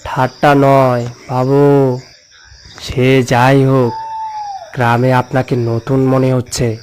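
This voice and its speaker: A man's voice: mid-range voice, level tone, takes it slowly, darkish tone, slight background noise, lightly acted. A man playing a concerned villager in a cartoon ghost story, politely giving ominous warnings. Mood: cautious, foreboding. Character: cautious, concerned male villager warning a traveller.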